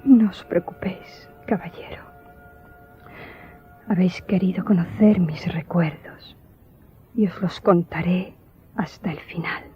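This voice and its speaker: An actress playing a grieving daughter who solemnly tells her tragic story in a radio drama, in a measured way. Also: lowish voice, dull audio, soft background music, lightly acted. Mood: sorrowful.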